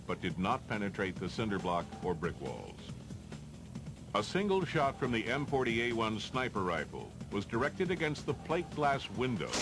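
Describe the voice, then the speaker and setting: A man with an American accent reads formal, matter-of-fact instructional narration for a training film. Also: medium pitch.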